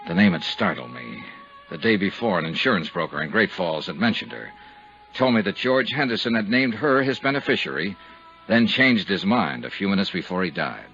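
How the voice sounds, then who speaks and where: Hard-boiled investigator, matter-of-fact, sharp forceful voice, 1950s radio drama, dull recording with music. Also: male voice, low voice, sing-song, a little fast, heavily acted. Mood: dry narration. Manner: clipped, dry, matter-of-fact. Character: brisk, hard-boiled insurance investigator narrating his case.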